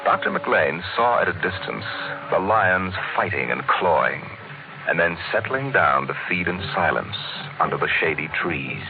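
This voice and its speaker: An actor playing a grave, theatrical storyteller, narrating in dramatic 1950s radio style. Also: male voice, deep voice, sing-song, moderate pace. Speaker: narrator and announcer. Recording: tinny vintage radio recording, music.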